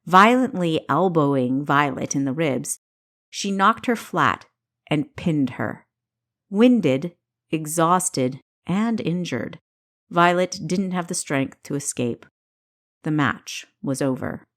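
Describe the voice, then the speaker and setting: A woman reading scripted story narration for an audiobook, with a dramatic, deliberate delivery and careful enunciation. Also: deep voice, expressive.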